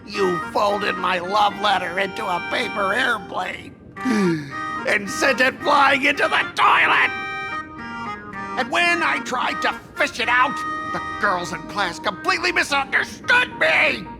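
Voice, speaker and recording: High-pitched, hot-headed student rival. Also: male voice, animated, unhurried, gravelly, full character voice. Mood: bitter, challenging. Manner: melodramatic, indignant. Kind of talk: anime-style video game voice acting. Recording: music behind the voice. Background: game music.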